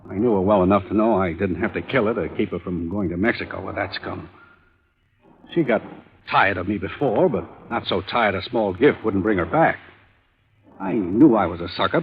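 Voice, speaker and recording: An actor playing a wealthy, pushy murder suspect who keeps offering money, in 1950s radio drama style. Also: male voice, animated, normal speed. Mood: pleading. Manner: low, bargaining, offers money. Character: rich, pushy murder suspect, middle-aged man. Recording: old lo-fi broadcast, phone-like.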